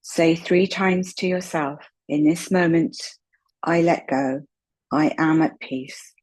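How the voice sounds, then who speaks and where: A woman with a low-pitched, husky voice guides a relaxation session. She speaks softly and gently, leaving long pauses between her calm instructions. Her tone is breathy and never hurried. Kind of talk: slow guided meditation, soothing instruction.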